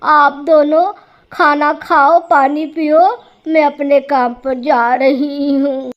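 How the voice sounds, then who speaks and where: A weary older mother crow played by a woman in a cartoon story. She talks slowly in a high voice, firm and fussing. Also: little pitch movement, lo-fi sound. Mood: placating.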